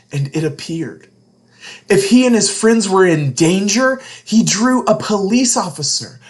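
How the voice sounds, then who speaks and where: Slow-paced man reading a children's storybook. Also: on the high side. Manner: deliberate phrasing, emphatic, explains words to young listeners. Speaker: children's read-along host. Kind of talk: expressive storybook read-aloud for children.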